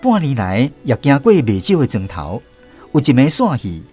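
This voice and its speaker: A man's voice: medium pitch, wildly swooping pitch, normal speed, dark tone, faint music behind. A man with a Taiwanese accent narrating a radio drama in a dramatic storytelling style.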